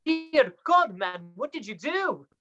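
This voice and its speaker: Dull-sounding, high-voiced young apprentice played by a woman. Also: big pitch swings, slow, music in the background, full character voice. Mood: shocked. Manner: earnest, formal, dramatic delivery. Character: principled, distressed young medical apprentice, male, Victorian. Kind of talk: live radio play acting. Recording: dull lo-fi audio.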